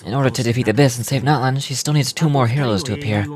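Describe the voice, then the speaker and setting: An actor playing a calm, calculating military commander in a video game, speaking in a measured, formal, persuasive way. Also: male voice, mid-range voice, lightly acted. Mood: grave, persuasive.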